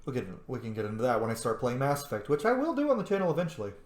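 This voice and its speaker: A man hosts a game playthrough with casual, opinionated fan talk. His voice is mid-pitched and he chats freely, in a noticeably echoey room. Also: huge pitch swings, very fast. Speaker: gaming video host.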